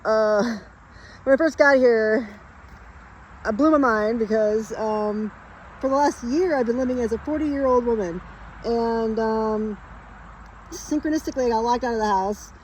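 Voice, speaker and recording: A woman's voice: a little high, a little mellow, slight background noise, poor audio. A woman in her late thirties explaining spiritual ideas in a casual, rambling monologue, emphatic and full of fillers. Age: late 30s. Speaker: spiritual vlogger.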